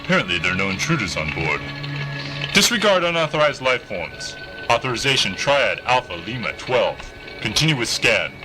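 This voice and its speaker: An actor playing a stern, practical starship commander in a science-fiction audio drama, speaking with formal, clipped military delivery. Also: male voice, mid-range voice, big pitch swings, moderate pace, close to an everyday voice, rough recording. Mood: focused, dry. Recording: music bed under the voice.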